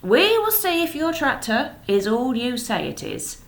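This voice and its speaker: A woman's voice: high voice, huge pitch swings, a little slow, creaky, pitched above the performer's own voice, heavily acted. Woman reading as a stubborn farmer father. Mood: challenging.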